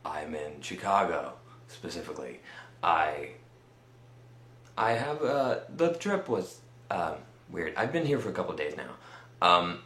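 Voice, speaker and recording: A man with a Swedish accent telling a personal story in a casual, rambling vlog style, halting and pausing often as he goes. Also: low-pitched, big pitch swings, fairly bright tone, echoey room. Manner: halting, conversational delivery with many pauses. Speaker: vlogger.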